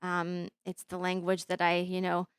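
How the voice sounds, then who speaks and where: A woman in her thirties, born in Bosnia with Bosnian as her first language, telling her story as an interview guest with many pauses and fillers. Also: fairly low pitch, completely flat delivery, smooth, dry recording, clean studio sound. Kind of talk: conversational interview.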